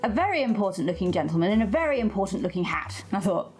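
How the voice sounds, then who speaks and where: A woman's voice: medium pitch, big pitch swings, racing, darkish tone, soft background music. Female co-host, mid-range voice, informal and conversational, feeds questions to her partner. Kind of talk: casual chat, prompting questions.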